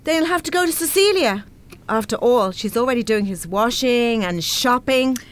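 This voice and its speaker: A woman with an Irish accent playing a scheming, flattering, self-serving grown-up daughter in a radio play. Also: fairly high pitch, moderate pace, lightly acted. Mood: dismayed, scheming. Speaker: radio drama actor.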